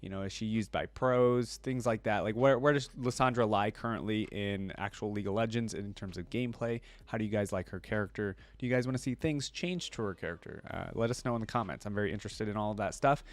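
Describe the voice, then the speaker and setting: A man giving casual reaction commentary as a video host, informal and rambling as he thinks out loud. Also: fairly low pitch, fast talker, few pauses.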